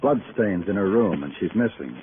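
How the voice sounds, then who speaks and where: An actor playing a brooding hard-boiled detective narrator, deliberate and terse, in 1950s radio noir. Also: male voice, sits low, expressive, noisy background. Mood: terse, probing. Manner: poetic, deliberate, terse in questioning. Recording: thin tinny old radio recording.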